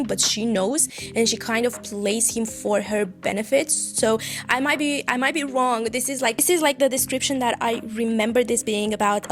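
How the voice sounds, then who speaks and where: Chatty young woman, bookish vlog. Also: on the high side. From Eastern Europe. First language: Eastern European language. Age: 20s. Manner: chatty, rambling, self-deprecating. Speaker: book vlogger. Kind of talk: casual chat to camera. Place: home room with bookshelves.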